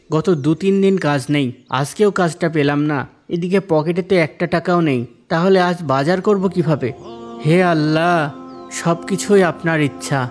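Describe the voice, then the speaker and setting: A man voicing a poor, patient, devout and generous husband in a moral-tale cartoon, speaking softly and humbly. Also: on the high side, close to an everyday voice.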